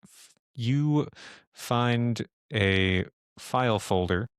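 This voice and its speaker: A man narrating a tabletop horror game as game master, improvising in a halting way as he thinks aloud. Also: mid-range voice, smooth, no echo, studio quality.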